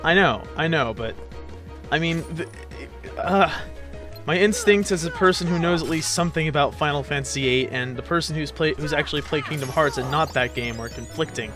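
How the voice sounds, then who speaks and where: A man's voice: fairly high pitch, brisk. A man hosting a let's play with casual, jokey, self-deprecating gameplay commentary. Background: game music.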